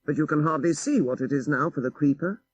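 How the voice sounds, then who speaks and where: A man voicing a worldly, bookish gentleman in an audiobook story, urbane, knowing and deliberate. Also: fairly high pitch, husky, muffled recording. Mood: musing.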